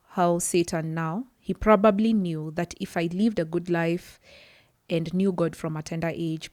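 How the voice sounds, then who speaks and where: A woman's voice: on the low side, a little mellow, no echo, clean studio sound. A woman sharing her personal story as a podcast guest, earnest and often restarting her sentences. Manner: earnest, searching for words with frequent restarts. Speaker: podcast guest, psychology worker. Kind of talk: personal testimony in a podcast interview.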